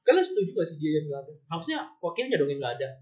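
Fast-talking young man, lightly high voice. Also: sing-song. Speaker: podcast panelist. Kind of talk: casual podcast chat. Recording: dull, lo-fi audio.

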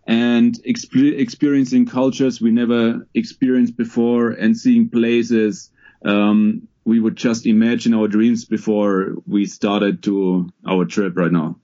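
Man, mellow voice, dull lo-fi line, relaxed guest. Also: medium pitch, slow pace. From Germany. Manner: relaxed, with many fillers and restarts. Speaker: interview guest. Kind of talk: casual conversational interview.

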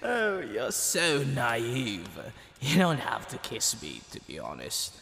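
A man voicing a teasing, seductive and smug demon king in an ASMR roleplay, drawling theatrically with gravelly tones and whispered asides. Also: medium pitch, big pitch swings, takes it slowly, pushed and bright, full character voice. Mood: gloating, teasing. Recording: long reverb, faint background noise.

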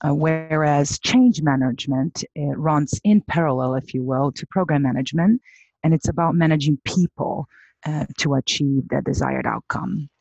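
A woman's voice: sits low, normal speed, vocal fry, darkish tone, dry recording, highs cut off. A woman in her forties from Serbia with a Serbian accent, a podcast guest talking with many fillers and restarts. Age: 40s. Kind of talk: conversational interview.

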